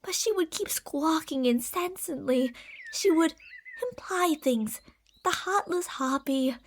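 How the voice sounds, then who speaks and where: Very high-pitched, possessive lamia. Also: female voice, expressive, takes it slowly, a little hiss or hum. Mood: cold, doting. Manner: haughty, theatrical, sweetly gaslighting formal speech. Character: possessive, delusional female lamia monster, lovestruck and menacing. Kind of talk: ASMR roleplay monologue.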